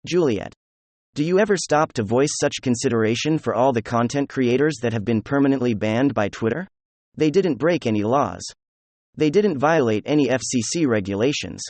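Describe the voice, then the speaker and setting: A man's voice: mid-range voice, darkish tone, no echo. A man delivering opinionated news commentary in a sarcastic, mocking manner. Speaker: political commentator.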